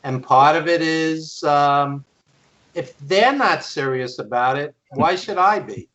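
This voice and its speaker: A man with a fairly high voice speaks very slowly, in short halting phrases. He gives casual opinions as a podcast co-host on a slightly dull recording. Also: a bit bright. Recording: dull lo-fi sound.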